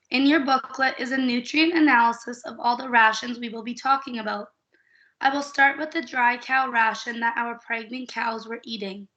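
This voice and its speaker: Bright-voiced young woman presenting. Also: on the high side. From Saskatchewan, Canada. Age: young adult. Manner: steady, reads figures carefully. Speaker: student nutrition coordinator. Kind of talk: prepared class presentation. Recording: dull lo-fi recording.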